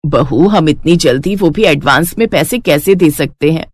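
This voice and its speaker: A woman voicing a gentle, supportive older mother-in-law in a cartoon story, speaking affectionately and reassuringly. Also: low-pitched, moderate pace, dry recording, clean studio sound, pitched below the performer's own voice. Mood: worried. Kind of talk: Hindi cartoon story acting.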